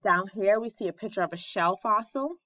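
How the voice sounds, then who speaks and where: A woman reading aloud to children as a teacher, deliberate and clearly enunciated, in an instructional way. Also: mid-range voice, pushed and bright, no room sound, lo-fi sound. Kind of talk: children's read-aloud lesson narration.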